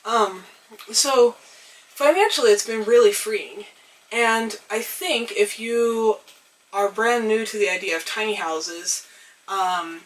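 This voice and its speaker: A young woman getting over a cold, her voice a little hoarse, talking thoughtfully to the camera with frequent pauses and ums. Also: mid-range voice, far from the mic, slight background noise, poor audio. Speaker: vlogger. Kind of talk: reflective casual talk to camera. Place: tiny house.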